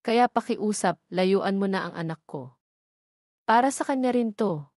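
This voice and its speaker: A woman's voice: medium pitch, a little slow, a little mellow, dry recording, clean studio sound, natural voice. A woman voicing a stern, unyielding father in an audio drama, firm and serious in manner.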